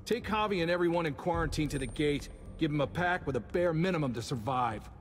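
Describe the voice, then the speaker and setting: A man playing a cold, authoritative leader in a video game drama, speaking deliberately in a reverberant hall. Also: on the high side, animated, close to an everyday voice. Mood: cold, stern. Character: cold, authoritative male leader passing harsh judgement. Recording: long hall reverb, faint music.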